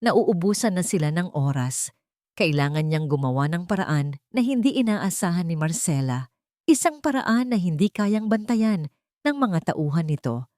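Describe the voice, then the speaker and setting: A woman narrating a dramatic scripted audio story in deliberate, suspenseful phrases. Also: fairly low pitch, dark tone, no echo, studio quality.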